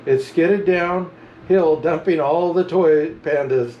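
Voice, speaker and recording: A man reading a children's storybook aloud as a Santa storyteller, with animated, chuckling narration. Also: a little high, slow pace, lo-fi sound, slight background noise. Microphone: camera mic.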